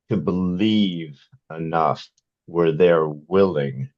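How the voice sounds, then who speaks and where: Deep-voiced man in a roomy space. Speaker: podcast host. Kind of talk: conversational interviewing. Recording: dull, roomy sound.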